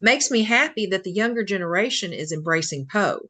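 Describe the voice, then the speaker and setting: An American woman speaks as a podcast co-host with a medium-pitched voice. Her talk is casual and informal, in the chatty style of a relaxed conversation with a guest. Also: a little fast. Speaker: podcast co-host, English teacher. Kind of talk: casual interview chat.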